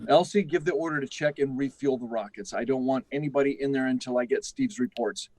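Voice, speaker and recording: An actor playing an aging, anxious, guilt-ridden test-flight chief in a radio drama, gruff, halting and urgent, often repeating himself. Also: male voice, medium pitch, darkish tone, lightly acted. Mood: brisk, pressing. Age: older. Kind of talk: live radio drama acting.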